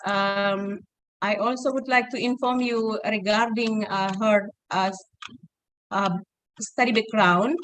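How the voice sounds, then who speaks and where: A woman moderating an event, introducing the guest speaker formally but haltingly, with many fillers. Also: mid-range voice, steady tone, a bit bright, slight background noise, poor audio.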